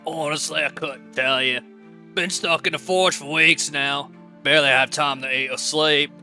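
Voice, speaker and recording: A man's voice: on the high side, fairly even intonation, moderate pace, pushed and bright, soft background music. A man acting as a weary, disgruntled blacksmith in an audio drama, with blunt, grumbling working-man talk. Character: weary, disgruntled overworked male blacksmith, gruff and plain-spoken.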